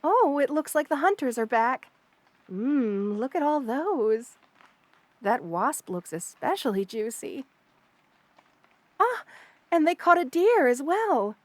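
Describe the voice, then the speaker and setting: Soft, higher-voiced motherly spider queen, acted by a woman. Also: very animated, dry recording, heavily acted. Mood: gentle, pleased. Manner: soft-spoken, doting, unhurried reassurance. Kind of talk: ASMR roleplay, addressing the listener. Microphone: close studio mic, dry.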